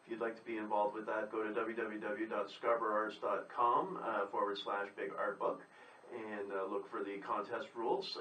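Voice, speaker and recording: A man's voice: mid-range voice, a bit bright, far from the mic. A man speaking as a poet and guest on live radio, talking and reading his work. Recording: echoey room, away from mic.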